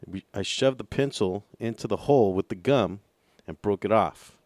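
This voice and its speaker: A man's voice: lowish voice, normal speed, darkish tone, dry recording. A man co-hosting a casual radio talk show, telling a story with stammers and repeated words.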